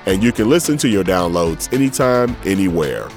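A man's voice: deep voice, lively intonation, moderate pace. A man reading a scripted promotional podcast outro as the announcer. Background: music.

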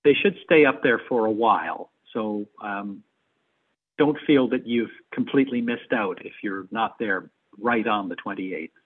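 A man's voice: lowish voice, a little slow, slightly rough. A man talking as a guest in a conversational interview, on thin, phone-like call audio. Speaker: interview guest, theater adapter.

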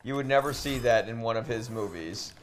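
A man co-hosting a podcast, debating casually and thinking aloud in a halting way, often repeating words. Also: medium pitch. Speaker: podcast co-host. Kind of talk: casual debate while gaming. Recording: clearly noisy room ambience.

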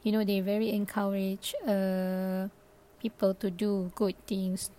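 Young Malaysian woman, native Malay speaker, solo podcast host; mid-pitched, loose and hesitant, lots of fillers. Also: level tone, measured pace, warm tone. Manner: hesitant, thinking aloud, with many fillers and restarts. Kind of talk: casual solo podcast talk.

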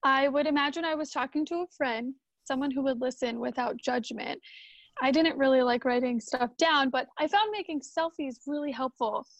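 Radio drama daughter, played by a woman, high voice, dry close sound, conversational and sentimental. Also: normal speed, no echo. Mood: reflective. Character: Hannah, sentimental grown daughter reuniting with old friend.

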